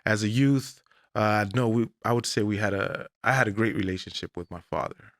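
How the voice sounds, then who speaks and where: A man in his forties, originally from Mexico, telling his life story as a guest on an interview podcast in a deliberate, measured way. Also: lowish voice, fairly bright tone, no room sound, studio quality. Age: 40s. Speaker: guest, English professor.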